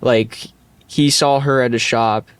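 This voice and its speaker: A man co-hosting a podcast, speaking casually and haltingly as he searches for his words. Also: medium pitch, steady tone, clean tone, no echo. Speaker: podcast co-host. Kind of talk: casual discussion.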